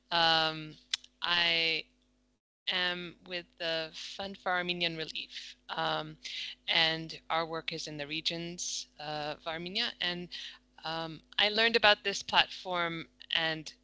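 A woman introducing herself on a video call as a nonprofit worker, soft and hesitant with many ums. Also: low voice, fairly even intonation, slow, clear, resonant tone, no echo, poor audio.

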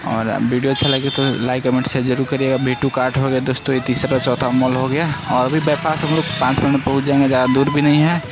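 Young man, chatty vlog narration, on a moving motorbike, loud music behind. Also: medium pitch, level tone, fast, hardly any pauses. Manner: chatty, repeatedly asks viewers to like and subscribe. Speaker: vlogger. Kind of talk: casual travel narration. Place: riding a motorbike through city streets at night. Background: loud background music.

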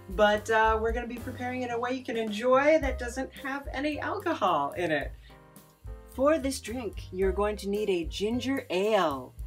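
A woman in her thirties hosting in a chatty, playful way with theatrical enthusiasm. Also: mid-range voice. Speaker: video host. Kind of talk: chatty demonstration and travel narration.

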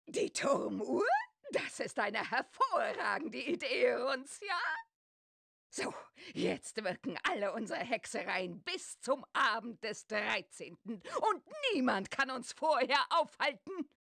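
A woman voicing a gleeful, scheming old witch with a shrill, creaky voice and exaggerated delivery in a children's game. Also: very animated, takes it slowly, fairly bright tone, no echo, exaggerated voice. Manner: exaggerated, sing-song, cackling. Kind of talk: German children's audio-play acting in a game.

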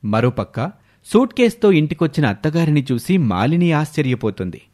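A man delivering scripted narration as the narrator of an animated moral story. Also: fairly high pitch, moderate pace.

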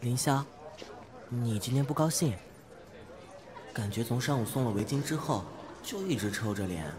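An actor playing an earnest, oblivious teenage class monitor who talks in a preachy, naive way in an audio drama. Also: male voice, mid-range voice, animated, moderate pace, a crowd in the background, lightly acted. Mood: innocent, kindly. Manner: preachy, matter-of-fact, naive. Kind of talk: modern audio drama acting.

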